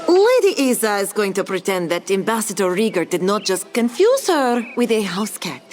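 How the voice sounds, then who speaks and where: A woman playing a dignified female cat-folk spokeswoman for a noble in a game roleplay, formal, measured and dryly disdainful. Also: mid-range voice, very animated, darkish tone, faint music behind, lightly acted. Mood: offended, formal.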